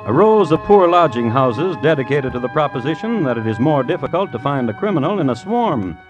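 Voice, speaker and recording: A male narrator in 1950s radio style, mid-pitched but with lively inflection. He is formal, measured and dryly wry, with faint music behind him. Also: lo-fi sound. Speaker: host and narrator.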